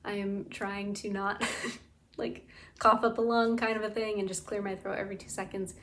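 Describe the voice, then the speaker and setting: A woman vlogging in a casual, chatty way about bath products, her voice tired and a bit raspy from talking. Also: medium pitch, level tone, moderate pace, fairly bright tone, a little hiss or hum. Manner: enthusiastic, gushing, lots of "like". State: tired, slightly raspy throat. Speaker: beauty and scent vlogger. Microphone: camera mic.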